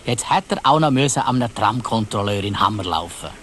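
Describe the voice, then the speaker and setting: A man's voice: lowish voice, expressive, a little fast, a bit bright, slight background noise. A man with a Swiss German accent narrating a dramatised children's story, addressing young listeners directly.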